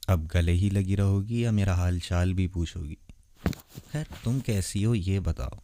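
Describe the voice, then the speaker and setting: A man playing a tender, lonely, centuries-old vampire boyfriend in a romantic ASMR roleplay, speaking softly and intimately to the listener. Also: low-pitched, background noise. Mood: affectionate. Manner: soft, intimate, gentle, unhurried.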